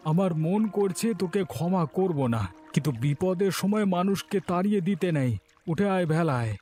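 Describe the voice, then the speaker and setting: A man's voice: high voice, moderate pace, a little mellow, natural voice. A man playing a righteous villager in a cartoon moral story, scolding yet merciful, with an earnest, emphatic delivery. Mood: stern, earnest. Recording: music behind the voice. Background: background music.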